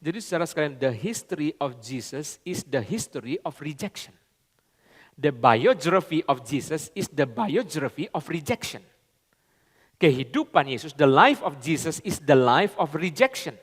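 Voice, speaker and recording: A man preaching a sermon, swinging between loud high emphasis and low quiet asides, often slipping into English and casual slang. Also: big pitch swings, unhurried, husky, long reverb tail. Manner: repeats "saudara" constantly, mixes in English phrases and colloquial Jakarta slang. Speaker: pastor.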